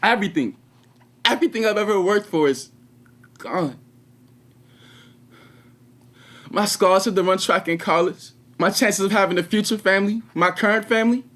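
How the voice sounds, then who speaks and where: An actor playing an anguished, regretful 21-year-old prisoner in a confessional stage monologue with dramatic pauses. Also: male voice, high-pitched, fairly bright tone. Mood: anguished, agitated. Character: anguished 21-year-old male prisoner, former track star, bitter and regretful.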